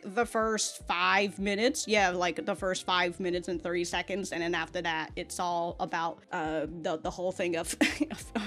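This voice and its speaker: A woman's voice: mid-range voice, fairly bright tone. An American woman hosting a reaction video with casual, unscripted commentary in an informal, sweary, emphatic way. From United States.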